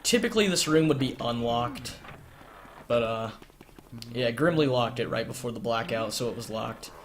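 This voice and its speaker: A man's voice: medium pitch, lively intonation, normal speed. Young man with a strained, cracking voice. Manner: explanatory, repeats points, filler "uh". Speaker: speedrun guide narrator. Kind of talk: step-by-step gameplay walkthrough. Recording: noisy home recording with keyboard clicks.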